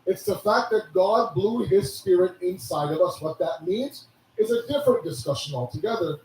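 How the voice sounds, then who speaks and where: A hoarse man giving a sermon, preaching with animated rhetorical questions. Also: high-pitched, normal speed, slight background noise. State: hoarse, losing his voice. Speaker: religious speaker. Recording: echoey hall from a distance.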